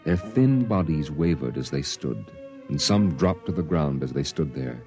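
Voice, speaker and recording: An actor playing a somber narrator in a 1950s radio drama, telling the tale in grave, measured phrases. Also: male voice, rumbling low, animated, music in the background. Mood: grave, mournful. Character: somber man recalling a Martian exodus.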